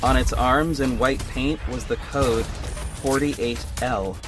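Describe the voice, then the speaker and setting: A man's voice: mid-range voice, fairly even intonation, takes it slowly, lightly acted. A man playing an earnest young hero who narrates his own story in a conversational, scripted audio drama style. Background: music.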